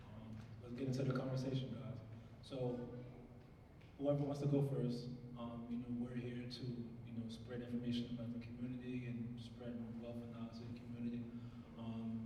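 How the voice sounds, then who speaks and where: Flat-voiced male moderator in echoey hall. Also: mid-range voice. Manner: loose, conversational, addresses the audience. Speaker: panel moderator. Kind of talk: moderating a community panel. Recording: echoey hall, distant mic, some noise.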